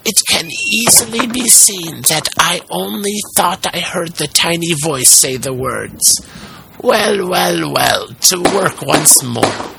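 A man voicing an excitable old carpenter in a storybook, muttering to himself in an exaggerated, easily frightened way. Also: on the high side, takes it slowly, vocal fry, very noisy, voice pushed up, exaggerated voice. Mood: uneasy, forced cheer. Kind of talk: storybook character voice.

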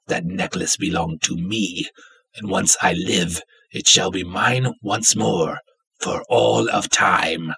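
A man voicing a haughty, seductive undead ancient Egyptian princess in a dramatic story reading, cool, hushed and formal. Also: deep voice, fairly even intonation, slow, creaky, breathy edge, exaggerated voice, poor audio. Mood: cool, possessive.

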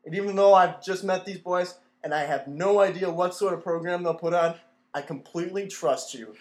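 A man's voice: high voice, normal speed, natural voice. Man playing a blustering old navy admiral. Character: pompous, addled retired navy admiral and radio boss, male. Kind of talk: mock old-time radio play.

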